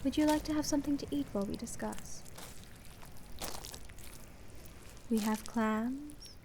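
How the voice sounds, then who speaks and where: A woman playing an aloof, polite sea witch merchant in a slow ASMR roleplay, speaking formally in a deadpan monotone. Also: sits high, noisy background, close to an everyday voice. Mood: composed, courteous.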